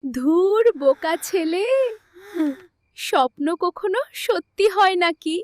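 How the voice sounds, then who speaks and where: A woman's voice: extremely high pitch, expressive, slow pace, dry recording, full character voice. A woman voicing a fretful, caring village mother in a cartoon fairy tale. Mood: soothing, wistful.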